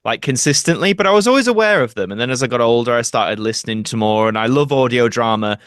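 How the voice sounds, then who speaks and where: A man's voice: mid-range voice, lively intonation, fast, clear, resonant tone, no room sound, studio quality. A man with a Yorkshire accent, telling rambling, colloquial anecdotes as an actor being interviewed. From Doncaster, Yorkshire, England. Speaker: actor, podcast guest. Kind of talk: casual interview storytelling.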